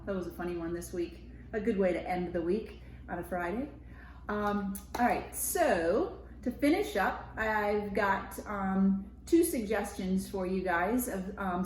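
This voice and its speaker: Woman, American accent, medium pitch, casual explanatory children's lesson, roomy echo, background noise. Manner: informal, explanatory, addresses children directly. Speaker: school media specialist.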